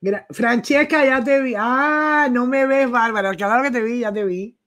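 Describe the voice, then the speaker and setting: A woman's voice: fairly high pitch, unhurried, fairly bright tone. A middle-aged woman with a Cuban accent hosting a live news show from a small home studio, chatting casually and affectionately while greeting viewers by name. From Cuba. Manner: chatty, affectionate, reads viewer comments aloud. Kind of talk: casual live-stream chat. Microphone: desk microphone.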